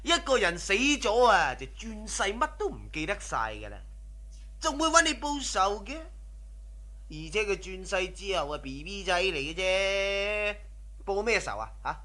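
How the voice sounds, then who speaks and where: An actor playing a greedy, ruthless, boastful robber in a radio drama. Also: male voice, high voice, sing-song, unhurried, pushed and bright, full character voice. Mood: scornful, boastful. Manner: boastful, sneering, swaggering. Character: cocky, greedy, ruthless male robber scoffing at superstition. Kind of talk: Cantonese radio drama acting.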